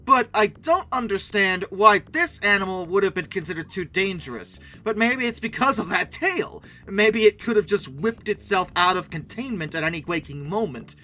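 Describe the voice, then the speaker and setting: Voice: medium pitch, lively intonation, moderate pace, few pauses, bright, forceful tone, poor audio. A video host giving casual, sarcastic commentary with emphatic delivery.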